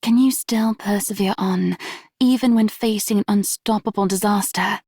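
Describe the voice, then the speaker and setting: A solemn video game character. Her mid-pitched voice carries a lot of creak, and she sounds grave and concerned in a close, dry recording. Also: steady tone, normal speed, dark tone, no echo. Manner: solemn, probing.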